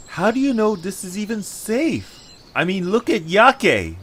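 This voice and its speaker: A man's voice: high-pitched, huge pitch swings, a little slow, fairly bright tone, slightly rough, pitched above the performer's own voice, full character voice. A man voicing an inquisitive, wary little creature on an adventure in an audio drama. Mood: doubtful.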